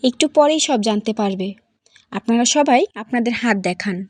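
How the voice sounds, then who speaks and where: A woman's voice: fairly high pitch, expressive, normal speed, dry recording. A woman playing a young wife in a cartoon who questions and firmly accuses suspects. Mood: accusing. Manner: inquisitive, firm, accusing. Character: young wife turned sharp sleuth.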